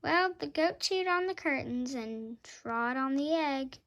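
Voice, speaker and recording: A woman's voice: sits high, lively intonation, takes it slowly, a bit bright. A female storytime reader narrating a children's picture book aloud.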